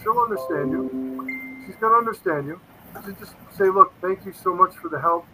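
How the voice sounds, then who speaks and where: A man's voice: high voice, a little fast, music in the background, rough recording. A man in his fifties telling stories in a casual interview, earnest and rambling, often quoting himself. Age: 50s. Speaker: paranormal investigator guest.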